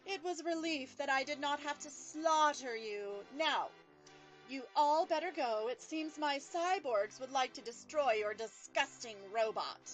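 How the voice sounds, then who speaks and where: A woman playing an imperious alien villainess in a radio sci-fi comedy, theatrical and drawn-out, politely threatening. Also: high-pitched, faint music behind, low-quality recording. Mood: mock-gracious menace. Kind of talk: old-time radio sci-fi comedy acting.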